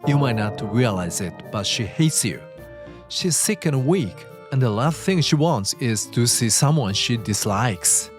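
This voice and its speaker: Man acting selfish whiny husband, belittling, blustering, highly animated intonation, dubbed drama dialogue, background music. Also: a little slow, lightly acted. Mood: pushy. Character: childish, selfish, demanding husband, dismissive and whiny.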